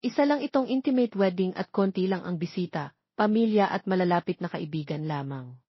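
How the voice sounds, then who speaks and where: A woman's voice: fairly low pitch, animated, a little fast, husky, no echo, dull audio. A woman with a Filipino accent reading a scripted romance story as an audiobook narrator.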